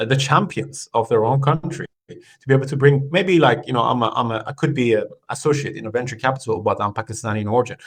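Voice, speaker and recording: A man from Iran, a podcast guest, talking in an unhurried, conversational way with frequent fillers and restarts. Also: medium pitch. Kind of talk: conversational interview.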